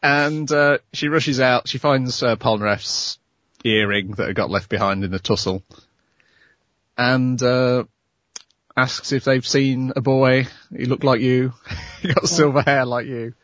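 A man's voice: medium pitch, steady tone, a little slow, a bit bright, dry recording, muffled recording. Male podcast host, casual wry recap, slight voice loss, dry close sound. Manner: wry, giggly storytelling. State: losing his voice. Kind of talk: casual chat.

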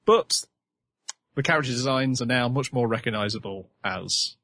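Casting note: male podcast host, mid-range pitch, dry close sound, relaxed and chatty. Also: normal speed, dry recording. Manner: chatty, steers the topics, laughs often. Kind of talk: casual chat about animation.

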